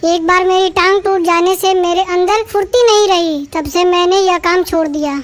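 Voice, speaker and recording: Woman doing a squeaky eager boy rabbit. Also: fairly even intonation, moderate pace. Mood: earnest. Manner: eager, helpful. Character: small eager male rabbit, helpful ex-spy. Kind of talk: cartoon voice acting.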